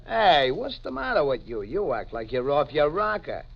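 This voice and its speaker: A man's voice: on the high side, very animated, normal speed, gravelly, full character voice. An actor playing a loyal small-time crook pal with wisecracking, familiar tough-guy slang in a 1940s radio mystery. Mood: cocky, familiar. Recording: dull, noisy 1940s radio recording.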